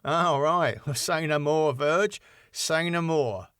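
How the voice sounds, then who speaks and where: Man doing a cheeky, glib lad, pitched up. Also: takes it slowly, fairly bright tone, clear, resonant tone, no echo, studio quality. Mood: breezy, cheeky. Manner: breezy, colloquial, bluffing. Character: Dave, cheeky glib quick-thinking British lad.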